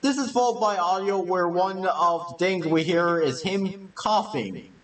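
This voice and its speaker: High-voiced man narrating a video essay. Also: unhurried, a bit bright, recorded from a distance. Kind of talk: scripted narration.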